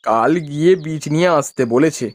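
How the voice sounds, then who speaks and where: A man's voice: on the high side, a little fast, no room sound, natural voice. A man voicing a cheerful, polite farmer husband from a village, in cartoon dialogue. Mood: relaxed, homely.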